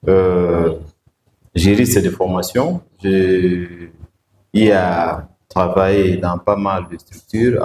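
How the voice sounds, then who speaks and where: A man, a guest answering interview questions in a measured, hesitant way with many fillers. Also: low voice, darkish tone. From Democratic Republic of the Congo. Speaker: guest, provincial road-safety director. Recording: echoey room, faint background noise.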